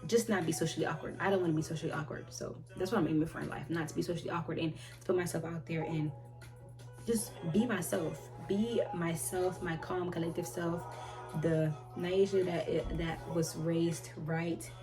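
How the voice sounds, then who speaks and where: Chatty young woman over loud music. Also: lowish voice, fairly even intonation. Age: 20s. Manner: rambling, halting, self-correcting, trails off often. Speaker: vlogger answering viewer questions. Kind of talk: casual chat. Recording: loud music playing behind her.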